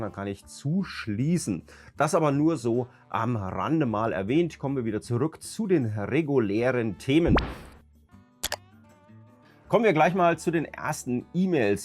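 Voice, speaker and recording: A man with a cold, hoarse and raspy, ranting emphatically as a YouTube host talking casually to his viewers. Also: medium pitch, darkish tone. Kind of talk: casual vlog talk to viewers.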